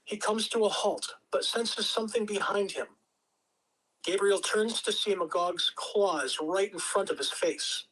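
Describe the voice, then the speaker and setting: A man's voice: high-pitched, unhurried, a bit bright, poor audio. A man reading stage directions as narrator, in scripted dramatic narration. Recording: amateur home recording.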